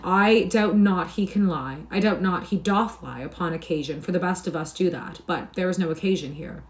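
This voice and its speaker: A woman reading aloud and voicing a rough, scheming young male thief and beggar with a scoffing, swaggering manner. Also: on the low side, normal speed, close to an everyday voice. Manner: archaic swaggering speech, scoffing.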